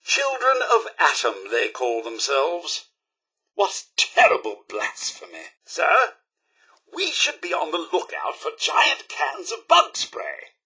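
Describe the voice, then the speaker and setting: A fussy robot butler from a video game, British and impeccably formal. The voice sits high and swoops theatrically, with a bright, forceful edge. He is loyal and deferential, with dry sarcasm never far away. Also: wildly swooping pitch, takes it slowly, creaky, slightly rough, exaggerated voice. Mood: prim, wry. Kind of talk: scripted video game voice lines.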